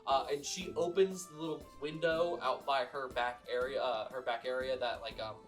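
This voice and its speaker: A man's voice: mid-range voice, steady tone, moderate pace, faint music behind. A man narrating as game master in a casual, laughing, filler-heavy way. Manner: laughing, loose, full of fillers.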